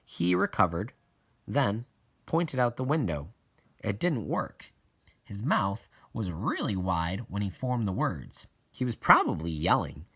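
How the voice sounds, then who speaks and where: Guy reading a kids audiobook, really slow, voice goes up and down a lot. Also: fairly low pitch, huge pitch swings, a bit bright, breathy edge. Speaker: audiobook reader. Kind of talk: expressive scripted narration of a children's novel.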